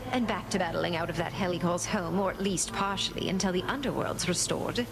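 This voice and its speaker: An actress voicing a flirtatious, breezy goddess of love who speaks in a playful, affectionate way, in game dialogue. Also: female voice, on the low side, brisk, gravelly. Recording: game dialogue over loud music.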